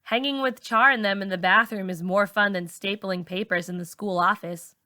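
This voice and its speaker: A teacher reads a novel aloud in an expressive first-person voice. Her tone is bright and crisp, and the sound is close and dry, with no room around it. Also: mid-range voice, moderate pace, no room sound. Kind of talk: audiobook-style novel reading.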